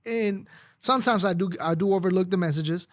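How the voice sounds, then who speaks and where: A man's voice: sits high, brisk, no echo, clean studio sound. A man from Mexico, a native Spanish speaker, hosting a casual chat in a blunt, profane and heated way. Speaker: podcast host.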